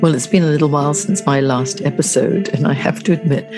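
A woman's voice: deep voice, fast, over loud music. A middle-aged woman hosting a solo advice podcast, sounding congested and throaty from the flu, talking in a chatty, coaching way that asks the listener questions. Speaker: podcast host.

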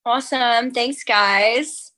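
A woman from the outback, a guest in a podcast interview, telling her story in lively conversation. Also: on the high side, slow. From Australia. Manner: animated storytelling with light laughs. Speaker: guest nutritionist.

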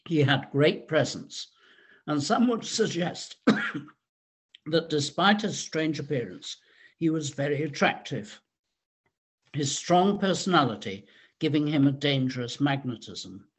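Male narrator for scripted history, voice a touch high and rough-edged, measured storyteller's delivery. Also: expressive.

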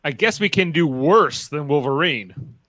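Male actor as earnest superhero leader, animated, dry close sound, live radio drama. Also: a little high, a little slow, no room sound. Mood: questioning. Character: earnest heroic young leader of mutant team. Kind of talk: live radio play reading.

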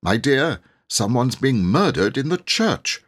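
Storybook country vicar, male reader performing: well-meaning, flustered, genteel, lively pitch, slightly husky. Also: a little slow. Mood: alarmed. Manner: excitable, genteel, fussy.

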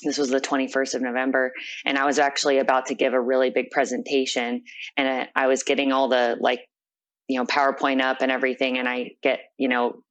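A woman's voice: a bass voice, little pitch movement, fairly quick. A young adult woman being interviewed, telling a personal story in an earnest, reflective way. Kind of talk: personal account in conversation.

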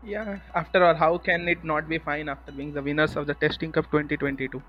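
A young man with an Indian accent chats casually as a podcast guest. His voice is fairly high, and the sound is dull and lo-fi with faint music behind it. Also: little pitch movement, fairly quick. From eastern India. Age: young adult. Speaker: podcast guest, student tester. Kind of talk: casual interview talk.

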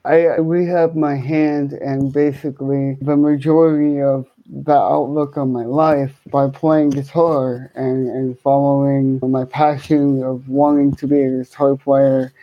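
A man with a speech impairment that slurs his words, answering interview questions conversationally as a guest musician, in a deliberate way with repeated words. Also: mid-range voice, little pitch movement, no echo, dull audio. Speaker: podcast guest, guitarist and musician.

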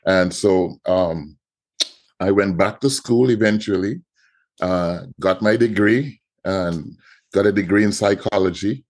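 Low-voiced Trinidadian man. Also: a little slow, darkish tone. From Trinidad and Tobago. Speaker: guest artist. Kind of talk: podcast interview.

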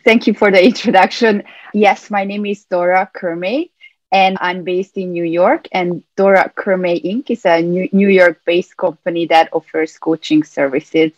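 A lower-voiced woman talks earnestly about her coaching work and explains things step by step. The sound is dry and a little dull, as if over a remote line. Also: steady tone, normal speed, no echo. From Hungary. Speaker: mental performance coach, guest. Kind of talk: interview answers.

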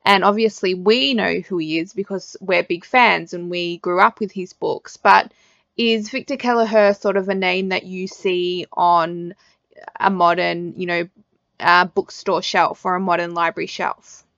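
A woman's voice: fairly low pitch, steady tone, unhurried, vocal fry, a bit bright, dry recording, lo-fi sound. An Australian woman hosting a podcast, asking questions and chatting casually about books in an interview.

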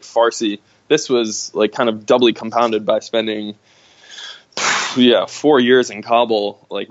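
A man chatting casually in a conversation, talking haltingly with many fillers and restarts. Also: lowish voice, no echo. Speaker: guest. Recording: dull lo-fi sound.

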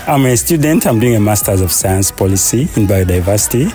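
A man's voice: fairly low pitch, lively intonation, brisk, warm tone, soft background music. A man with a Ugandan accent introducing himself casually as a student guest on a panel.

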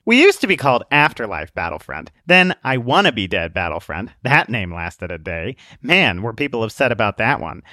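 A man's voice: on the low side, normal speed, hardly any pauses, no room sound, clean studio sound. A man voicing a pushy, sarcastic young female sniper in a storybook reading. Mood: brash. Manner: bossy, sarcastic, excitable.

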